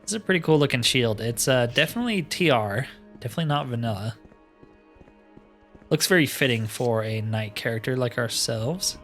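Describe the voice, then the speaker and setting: A man's voice: medium pitch, expressive, fairly quick, a bit bright, soft background music. A man hosting a let's play with casual, laid-back, rambling commentary. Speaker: host of a gaming series.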